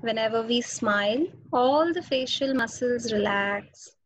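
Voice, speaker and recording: Higher-pitched Indian-accented woman guiding meditation. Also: dry recording. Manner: slow instructions with long pauses. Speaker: meditation teacher. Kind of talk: guided meditation. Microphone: video call. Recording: dull, muffled webinar audio.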